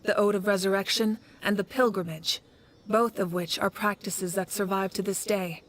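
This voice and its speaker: A woman's voice: mid-range voice, steady tone, moderate pace, lightly acted. A woman playing a resolute, dutiful leader of a fantasy nation in a video game, firm and earnest. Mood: earnest, explanatory. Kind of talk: scripted video game voice acting.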